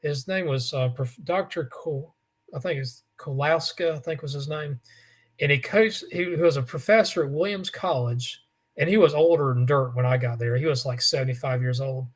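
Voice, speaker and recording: A man with a raspy voice, co-hosting a casual sports talk show and telling stories with lots of restarts and fillers. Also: on the high side, normal speed. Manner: rambling storyteller, many restarts and fillers. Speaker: co-host.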